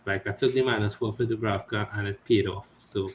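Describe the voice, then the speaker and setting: A man co-hosting a fantasy football podcast, talking in a measured way with dry remarks. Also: lowish voice, steady tone, crowd noise. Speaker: podcast co-host. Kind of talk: casual chat about fantasy football. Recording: echoey room with faint noise.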